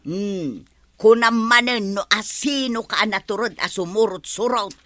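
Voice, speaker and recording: A woman's voice: medium pitch, unhurried, a bit bright, no echo, soft background music, natural voice. A woman with an Ilocano accent playing a mocking, doubtful wife who teases and scolds in a radio comedy drama.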